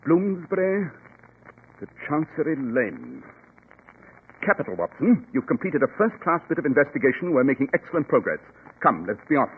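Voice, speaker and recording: An actor playing a shrewd, commanding gentleman detective, precise and formal, in 1940s radio drama style. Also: fairly high pitch, sing-song, moderate pace, husky, full character voice. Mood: eager, commanding. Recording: muffled, noisy 1940s radio recording.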